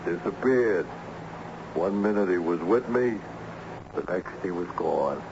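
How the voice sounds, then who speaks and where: Streetwise sidekick, deep voice, slangy, laconic, old radio drama, noisy sound. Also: male voice, expressive, a little slow, dull audio. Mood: laconic, coy. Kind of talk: vintage radio drama acting.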